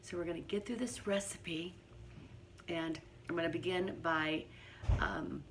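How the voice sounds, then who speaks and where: Hoarse middle-aged woman, low-pitched, speaking softly in her kitchen with a faint hiss behind. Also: fairly even intonation, unhurried. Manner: quiet, partly whispered speech. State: hoarse from laryngitis. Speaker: home cooking host. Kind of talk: casual cooking demonstration. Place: home kitchen.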